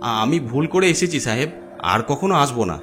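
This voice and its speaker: A miserable, timid gentleman, voiced by a male narrator, meek and plaintive in the manner of a horror cartoon. His pitch is middling, and music plays behind him. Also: sing-song, moderate pace, natural voice. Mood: frightened, pleading. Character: miserable, timid, henpecked jobless Bengali gentleman. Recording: background music under voice.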